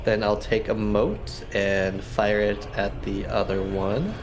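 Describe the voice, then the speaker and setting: A man's voice: fairly low pitch, fairly even intonation, slow. A man chatting casually as a player in a tabletop roleplaying game, talking through a turn. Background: game background music.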